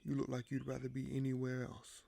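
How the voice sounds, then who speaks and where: A man playing a weary, paranoid, dryly candid king in an audio roleplay, speaking in measured, formal phrases with hesitant pauses. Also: mid-range voice, monotone, gravelly, dark tone, no room sound. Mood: guarded, wry. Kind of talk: audio roleplay monologue to a silent listener.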